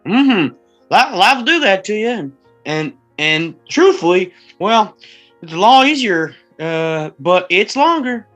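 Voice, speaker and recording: A woman's voice: medium pitch, big pitch swings, measured pace, faint music behind. A woman playing a friendly, slightly drunk forty-year-old man, a small-town mayor who stammers and rambles in a screenplay table read. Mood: folksy, tipsy.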